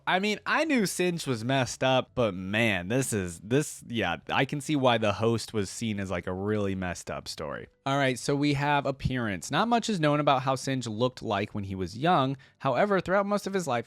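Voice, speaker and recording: A man's voice: mid-range voice, no echo. A man hosting a reaction video, reading game lore aloud and commenting on it in an informal, slangy way. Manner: informal, slangy asides between read passages.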